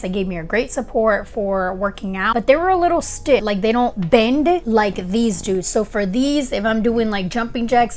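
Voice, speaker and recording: Chatty young woman reviewing shoes at home. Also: medium pitch. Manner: chatty, upbeat, talks with her hands. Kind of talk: casual product review. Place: home kitchen, indoors.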